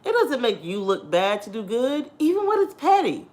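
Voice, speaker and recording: A woman in her thirties with a deep, husky voice, talking candidly to her viewers in a casual chat and laughing often. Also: sing-song, normal speed, darkish tone. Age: 30s. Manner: chatty and candid, laughs often, addresses viewers as "girl" and "y'all". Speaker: vlogger.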